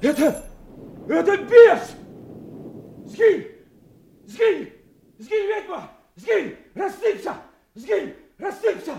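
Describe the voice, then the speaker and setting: An actor playing a frantic, pitiful mad beggar haunted by demons, rambling and chanting in a theatrical radio play. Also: male voice, very high-pitched, very animated, drawn-out words, gravelly, long pauses, warm tone, echoey room, full character voice. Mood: frantic terror. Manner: rambling, chanting, erratic outbursts. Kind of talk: theatrical radio drama acting.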